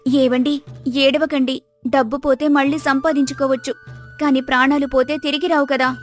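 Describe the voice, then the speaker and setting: Woman as wise, devoted storybook wife; high register, gently admonishing, earnest, light music bed. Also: little pitch movement, moderate pace, lightly acted. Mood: consoling. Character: patient, devoted, wise village wife of a miser. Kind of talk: Telugu moral story character acting.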